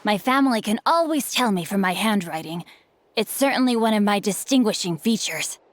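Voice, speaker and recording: A woman's voice: medium pitch, animated, brisk, slight background noise, lightly acted. A woman voicing a studious, polite young nobleman swordsman in a video game, formal, composed and slyly teasing. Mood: amused. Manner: formal, archaic, eloquent, composed. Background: background music.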